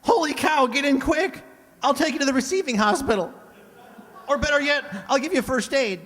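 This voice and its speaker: A man playing an excitable, gawky teenage schoolboy, blurting earnestly in old-time radio comedy style. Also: extremely high pitch, moderate pace, in a large hall. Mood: alarmed, excitable.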